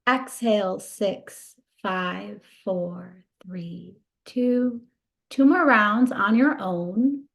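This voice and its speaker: Slow-talking woman guiding meditation. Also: medium pitch, gravelly. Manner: soothing, gentle, unhurried with long pauses. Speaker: meditation guide. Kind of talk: guided body scan meditation.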